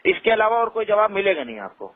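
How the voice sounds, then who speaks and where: A man with a high voice speaks as a complaints officer on a thin telephone line. He argues insistently, explaining and interrupting as he goes. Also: very animated, fast. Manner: argumentative, insistent, often interrupting. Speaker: helpline officer handling investor complaints. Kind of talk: phone argument with a caller. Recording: tinny, band-limited recorded phone call.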